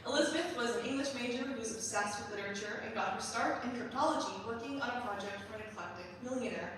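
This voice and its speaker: A young adult woman giving a prepared, measured introduction as a student composer presenting her staged reading. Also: on the high side, a bit bright. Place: large performance hall. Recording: very echoey hall, distant mic, faint noise.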